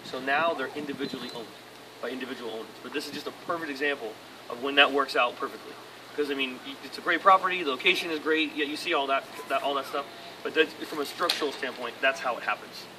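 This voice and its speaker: A man explains condo conversions on a property tour, rambling and circling back over his words. He talks at a fast clip. The voice is picked up from a distance, with plenty of background noise. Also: mid-range voice. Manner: rambling, repeats and restarts words. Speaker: real estate agent explaining condo conversions. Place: apartment complex outdoors, then a condo unit.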